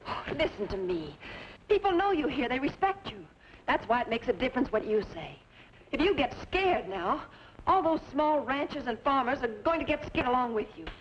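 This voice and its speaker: An actress playing an earnest, spirited daughter urging courage in an old-time radio western. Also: female voice, high voice, sing-song, normal speed. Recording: muffled vintage radio recording, faint noise.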